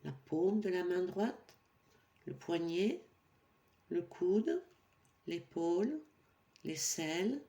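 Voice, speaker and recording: A woman yoga nidra teacher leads a sleep meditation in a calm, soothing way. Her voice sits a little low, with a dark, relaxed tone. She takes her time, giving instructions very slowly and pausing between them.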